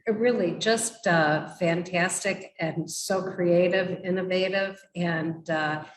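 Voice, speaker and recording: A woman hosts an artist interview in a lowish voice. She takes her time and speaks haltingly, choosing words with care. The room adds audible echo. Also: fairly even intonation. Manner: halting, measured. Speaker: host interviewing artists for a museum. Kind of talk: hosted artist conversation. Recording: roomy echo with noticeable background noise.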